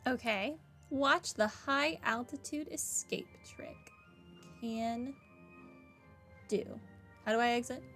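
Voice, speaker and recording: A woman streamer with a highish voice comments casually on a game. She talks at an unhurried pace, with faint music behind her. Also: a bit bright. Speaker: gaming streamer.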